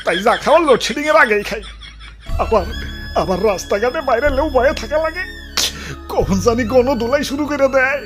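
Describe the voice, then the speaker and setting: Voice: high voice, lively intonation, fairly quick, music in the background. A performer voicing a self-pitying, defensive cartoon electricity worker who pleads plaintively in speech. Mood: aggrieved pleading. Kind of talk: comic cartoon monologue.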